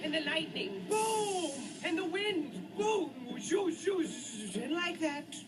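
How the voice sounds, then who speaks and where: A man's voice: extremely high pitch, animated, a little slow, full character voice. A man playing a manic, mock-sweet storyteller, narrating a comic cartoon game with swooping, melodramatic theatricality. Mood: frantic, melodramatic. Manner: melodramatic, swooping from cooing to yelling. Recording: distant sound under loud music. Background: loud music.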